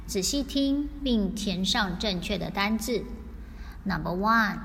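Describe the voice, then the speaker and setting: A woman reading out a scripted listening test in a deliberate, carefully enunciated dictation style. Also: medium pitch, a bit bright. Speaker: English listening test reader. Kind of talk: scripted exam narration, slow and repeated. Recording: long hall reverb, faint background noise.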